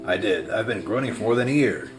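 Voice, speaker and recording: A man's voice: lowish voice, lively intonation, fast, natural voice. A man voicing an anxious, earnest tin woodman in a storybook read-aloud. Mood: pleading. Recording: music behind the voice.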